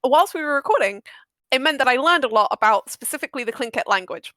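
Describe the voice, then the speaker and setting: A woman with a fairly high voice talks casually in a dry, close recording. She is opinionated and emphatic, rambling through her points and happy to swear. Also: expressive, quick pace, pushed and bright, dry recording. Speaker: audio drama maker. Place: live online group stream.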